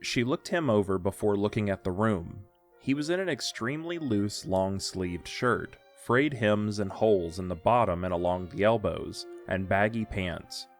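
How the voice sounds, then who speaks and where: Lowish-voiced man narrating a story. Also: animated, moderate pace, faint music behind. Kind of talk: scripted audio drama storytelling.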